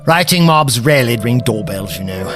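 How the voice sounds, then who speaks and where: An actor playing an eccentric, self-assured older time traveller in an audio drama, with pompous, precise, formal diction. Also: male voice, mid-range voice, expressive, normal speed, a bit bright, faint music behind, close to an everyday voice. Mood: wry, self-assured.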